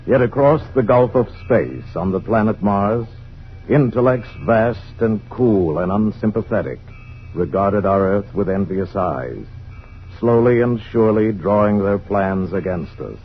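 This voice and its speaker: Over music and through a dull vintage radio sound comes a low-voiced, solemn narrator. He tells a science fiction tale in measured, portentous phrases, grave and all-knowing. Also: lively intonation. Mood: grave, ominous. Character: solemn omniscient male narrator of a Martian invasion tale. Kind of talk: scripted radio drama narration. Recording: dull old radio broadcast recording. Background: dramatic music.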